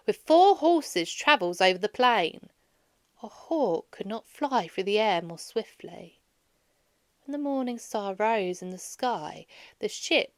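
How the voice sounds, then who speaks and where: A woman reading a children's story aloud in an expressive storyteller's way, dramatizing as she goes. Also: mid-range voice, dry recording, clean studio sound. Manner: animated, dramatizes dialogue.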